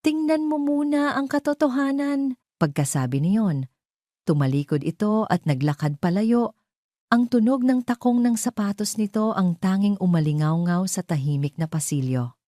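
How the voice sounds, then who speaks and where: A woman's voice: fairly low pitch, normal speed, mellow, no echo, clean studio sound. A woman narrating a scripted drama story in a dramatic style.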